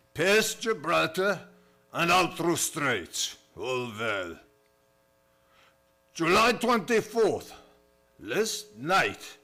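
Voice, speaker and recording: A man's voice: a little high, bright, forceful tone, exaggerated voice. An actor playing a grim, increasingly fearful ship's captain, reading his log in clipped, solemn phrases in old-time radio style. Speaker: stage and radio theater actor.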